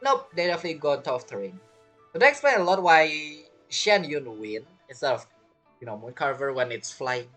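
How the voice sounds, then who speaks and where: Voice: medium pitch, lively intonation, normal speed, a bit bright, faint music behind. A game streamer giving casual, amused reaction commentary in informal, loosely grammatical English.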